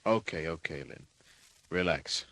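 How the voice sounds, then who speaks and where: A man's voice: a bass voice, lively intonation, measured pace, gravelly, a bit bright, no echo, heavily acted, low-quality recording. A man playing a cynical, wisecracking private detective in an old-time radio drama, with a slangy, clipped, world-weary delivery. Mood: skeptical, gruff. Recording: vintage radio drama recording.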